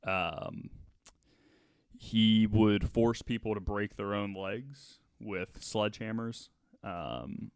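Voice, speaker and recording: A man with a low, smooth voice hosts a podcast, telling a true-crime story in measured, halting phrases. He takes his time, and the sound is close and dry. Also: no room sound. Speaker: podcast host.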